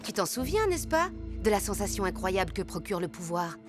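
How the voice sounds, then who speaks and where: A woman's voice: mid-range voice, very animated, fairly quick, music in the background, lightly acted. A woman voicing a smug, condescending female cartoon villain who taunts in a theatrical way. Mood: taunting, grandiose. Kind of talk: animated series dub.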